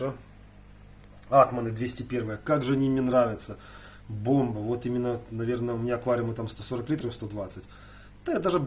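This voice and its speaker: A man giving a casual, rambling hobby vlog in colloquial, slangy speech, thinking aloud and often clearing his throat between phrases. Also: mid-range voice, darkish tone. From Ukraine. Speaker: hobby aquarium vlogger. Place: indoors in a room with aquariums. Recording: noticeable background noise.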